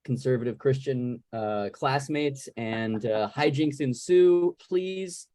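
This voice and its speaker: A man's voice: medium pitch, takes it slowly, dark tone, no echo. Dry-sounding man hosting a casual Q&A. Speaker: podcast host and moderator. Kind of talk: casual Q&A moderating. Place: video call.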